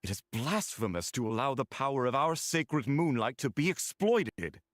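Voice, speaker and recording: An actor playing a solemn, devout male guardian in a video game, speaking in a formal and measured way. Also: medium pitch, expressive, close to an everyday voice. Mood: stern. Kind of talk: video game dialogue acting.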